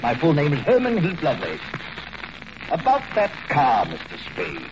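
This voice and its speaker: An actor playing a fussy, florid, genteel crook in an old radio drama, with pompous, mannered speech. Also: male voice, a little high, huge pitch swings, moderate pace. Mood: suave, fussy. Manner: pompous, mannered, ornate, hesitant. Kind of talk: 1940s radio detective drama acting. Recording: noisy old radio recording.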